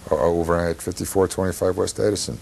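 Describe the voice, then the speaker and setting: Male host: very low register, fry in the voice, halting casual chat, faint noise behind. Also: fairly even intonation, darkish tone. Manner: halting, repeats himself. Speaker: TV show host. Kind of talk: casual unscripted hosting chat.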